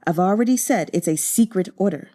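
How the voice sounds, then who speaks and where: A woman's voice: medium pitch, animated, brisk, warm tone, close to an everyday voice. A woman reading a novel aloud, voicing a cold, commanding nobleman who speaks in clipped, authoritative lines. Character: cold, commanding nobleman, a stern grown man.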